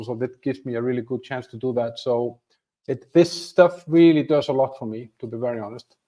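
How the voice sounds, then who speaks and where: A man's voice: medium pitch, darkish tone. A man in his fifties from Iceland hosting a livestream from home, talking casually to viewers, chatty and self-deprecating with many restarts. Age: 50s. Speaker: channel host. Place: home room with a flag behind him.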